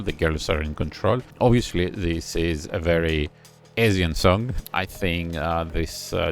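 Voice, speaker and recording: A man's voice: low voice, vocal fry. A man hosting a music reaction channel, casually analyzing a track while thinking aloud and humming chords to explain. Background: faint song playing.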